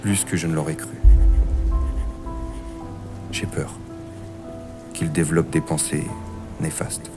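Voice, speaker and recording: Man acting deep-voiced, measured, concerned therapist. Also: fairly even intonation, music in the background. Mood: grave, worried. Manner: measured, reassuring, earnest. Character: calm, concerned male therapist treating a grieving patient. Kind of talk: dramatic audio thriller acting and narration.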